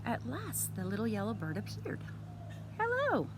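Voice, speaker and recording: A woman reading a children's story aloud expressively, with deliberate, teacherly phrasing. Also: very animated. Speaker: storytime reader. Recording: clear background noise, vehicle rumble. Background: background noise.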